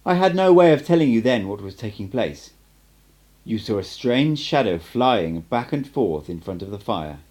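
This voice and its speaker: A man's voice: fairly low pitch, very animated, moderate pace, a little mellow. A man voicing an assured, cryptic sorcerer mentor in an audiobook, with an emphatic, instructive manner. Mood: solemn, emphatic.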